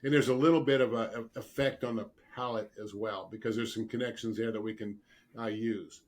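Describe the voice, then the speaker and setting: A man with a slightly rough voice from a lingering cold, explaining earnestly and emphatically as a guest expert in an interview. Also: fairly low pitch, fairly even intonation, a little fast, a little mellow. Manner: earnest, emphatic, repeats words for stress. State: getting over a cold, throat clearing and coughing. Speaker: guest expert, dentist specializing in dental sleep medicine.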